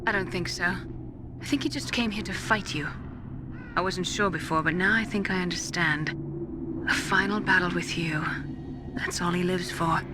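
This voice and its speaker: A bitter, grieving woman scientist, played by an actress, confides in a low, deliberate voice. It is a dramatic video game cutscene. Loud music runs beneath her words. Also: close to an everyday voice. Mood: subdued, confiding. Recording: loud music bed underneath.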